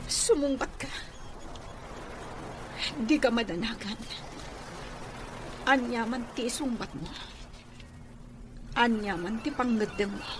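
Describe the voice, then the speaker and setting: A betrayed young wife in a radio drama, pleading and accusing. Her voice is very high and her delivery fairly slow. Clear noise runs behind her. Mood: hurt, demanding.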